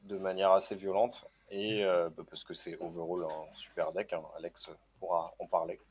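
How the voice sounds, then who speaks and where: A man's voice: low-pitched, level tone, moderate pace, slightly rough. A man on a card game panel, chatting casually and handing down confident verdicts. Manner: confident, sums up verdicts plainly. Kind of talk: casual strategy discussion.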